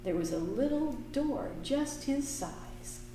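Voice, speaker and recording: Slow sing-song storytime woman, echoey. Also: high voice, expressive, distant mic, over music. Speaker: children's librarian, storytime host. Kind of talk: children's storytime, singing and reading aloud. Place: library room. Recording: echoey room, voice from afar.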